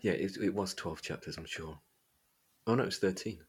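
A man's voice: low-pitched, a little fast, husky. A man from Derbyshire with an East Midlands English accent, chatting informally as a podcast host about a book. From Derbyshire, England. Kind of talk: casual book discussion chat.

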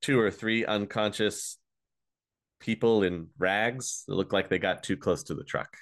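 A man narrating a tabletop role-playing game as game master, casual and halting, pausing mid-sentence as he improvises. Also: lowish voice, fairly bright tone.

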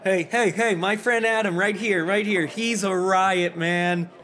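An actor playing a jovial, drunken Confederate soldier who rambles in an over-friendly, chatty way. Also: male voice, high-pitched, steady tone, a little slow, bright, forceful tone. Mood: merry.

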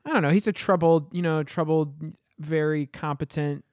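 A man's voice: fairly high pitch, moderate pace, a little mellow, dry recording, studio quality. A man co-hosting a podcast, explaining things at length in casual chat, searching for words with "you know" and "I mean". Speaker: podcast co-host.

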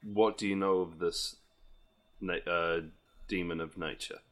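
A man voicing a wary male adventurer who asks pointed questions slowly. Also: sits low, steady tone, a bit bright. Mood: suspicious.

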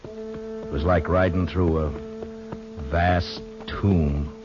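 Very deep, grave frontier lawman. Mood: somber. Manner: halting, understated. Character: grave, gentle frontier lawman of the Old West. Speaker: radio drama lead and first-person narrator. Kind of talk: 1950s radio western acting. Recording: dull old 1950s radio recording, music behind.

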